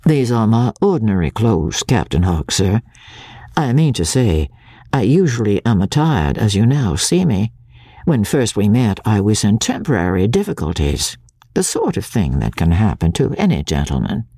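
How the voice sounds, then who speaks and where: A woman voicing a suave, proud gentleman with formal, dignified speech in a storybook reading. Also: a bass voice, big pitch swings, moderate pace, breathy edge, no echo, studio quality, exaggerated voice. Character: suave, elegant, proud gentleman riverboat actor.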